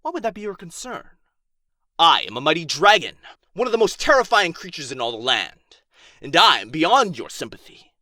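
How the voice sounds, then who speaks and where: A proud, wounded dragon guards his hoard in an ASMR fantasy roleplay, played by a man. His delivery is theatrical and taunting, swinging dramatically from drawn-out whispers to sharp, forceful outbursts. The sound is dry and intimate. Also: a little high, very animated, dry recording. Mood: indignant, defensive. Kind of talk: ASMR boyfriend roleplay acting.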